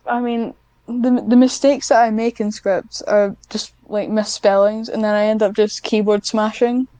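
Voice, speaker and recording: Hesitant woman with a clear, smooth voice. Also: medium pitch, dark tone, no room sound, rough recording. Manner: hesitant, self-deprecating, giggly. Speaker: podcast guest, scriptwriter. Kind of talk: casual interview chat.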